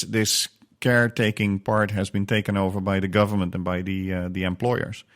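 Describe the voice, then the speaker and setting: A man with a Dutch accent talking conversationally in a reflective way, sometimes searching for his words. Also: low-pitched. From Netherlands. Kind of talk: conversational, personal account.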